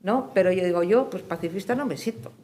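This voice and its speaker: A woman's voice: mid-range voice, fast talker, mellow. A woman with a mid-range voice speaks as an activist on a discussion panel. Her delivery is reflective yet emphatic, and she keeps turning to listeners with little tag questions. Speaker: panelist, civil society peace activist. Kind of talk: reflective spoken testimony at a forum.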